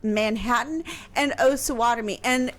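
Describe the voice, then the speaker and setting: A woman from Kansas explaining history on a radio talk show, speaking carefully and pausing often on fillers. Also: on the high side. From Kansas, USA. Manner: slow, careful, halting with fillers.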